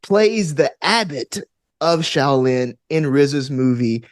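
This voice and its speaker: A man's voice: on the high side, lively intonation, slow, no echo, clean studio sound. A man talking casually as an interview guest, with an enthusiastic, geeky manner and a habit of rambling off on tangents. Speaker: podcast guest, actor and tabletop gamer.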